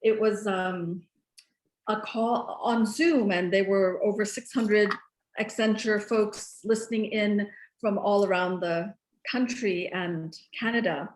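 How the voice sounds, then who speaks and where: A woman's voice: medium pitch, fairly even intonation, slow pace, roomy sound, low-quality recording. A woman hosting a video call for a charity, chatting in a friendly way with plenty of "um"s and asking the cook questions. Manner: friendly, asks questions, filler-heavy. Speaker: host from the charity. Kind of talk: casual video call chat.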